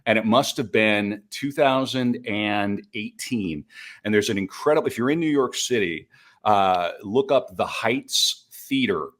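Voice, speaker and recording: A man's voice: on the low side, unhurried, fairly bright tone. A middle-aged American man from North Dakota telling stories casually and enthusiastically on a podcast, rambling as he goes. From North Dakota, United States. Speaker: podcast speaker. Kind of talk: casual conversational storytelling.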